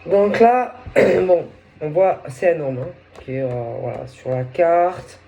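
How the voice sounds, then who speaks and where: A woman with a head cold and a sore throat, giving a casual tarot reading in a halting way, often searching for words. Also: low voice, expressive, mellow, highs cut off, slight background noise. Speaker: tarot reader.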